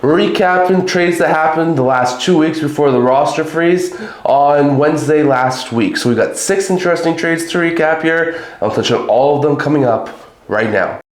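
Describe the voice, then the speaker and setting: A man's voice: fairly high pitch, moderate pace. Chatty man with a slight cold, echoey room. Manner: chatty, talks in loose run-on sentences with fillers. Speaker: hockey channel host. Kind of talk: casual solo hockey trade recap.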